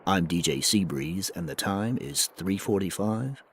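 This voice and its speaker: A man's voice: sits low, expressive, measured pace. A man playing a languid, soothing, trippy late-night DJ on an ambient FM radio station. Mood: dreamy, soothing. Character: mellow late-night ambient radio DJ, dreamy surfer philosopher. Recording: clearly noisy background ambience.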